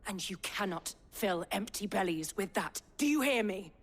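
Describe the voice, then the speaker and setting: A woman's voice: medium pitch, expressive, moderate pace, lightly acted. An actress playing a defiant, practical townswoman in a fantasy game, arguing insistently. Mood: heated. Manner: argumentative, insistent. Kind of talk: heated game cutscene acting. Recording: long reverberant hall sound.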